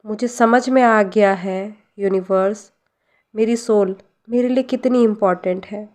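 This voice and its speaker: An Indian woman leads a guided meditation in a gentle, deliberate manner. Her pace is very slow, with pauses left between short phrases. Her pitch sits in an ordinary middle range for a woman as she recites prayer lines and repeats them. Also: fairly even intonation. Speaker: guided meditation leader, life coach.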